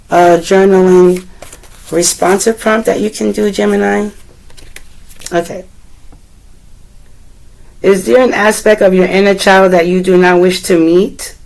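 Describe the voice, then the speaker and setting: A woman with a deep, gravelly voice that still carries the roughness of a fading cold. She gives a casual tarot reading, speaking gently to the viewer and wandering between her own musings and passages read aloud from a guidebook. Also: low-quality recording. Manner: gentle, meandering, talks to viewer directly. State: recovering from a cold. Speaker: tarot reader.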